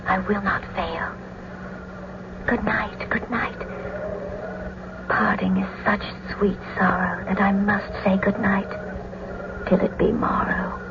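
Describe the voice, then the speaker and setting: Actress as a slow, tender lovestruck young woman. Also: medium pitch, little pitch movement, lightly acted. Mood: tender yearning. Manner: soft, breathy, yearning. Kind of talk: classical verse radio drama acting. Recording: muffled, hissy old radio broadcast.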